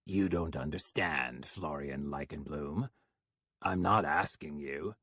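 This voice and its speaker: A man voicing a menacing young male stalker, speaking low, slow and threatening. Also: a bit bright, breathy edge, no echo, studio quality, exaggerated voice.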